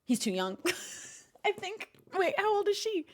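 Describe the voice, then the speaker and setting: A woman's voice: very high-pitched, a little slow. A woman streamer giving casual reaction commentary, laughing often as she talks. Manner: giggly, animated, talks to a pet.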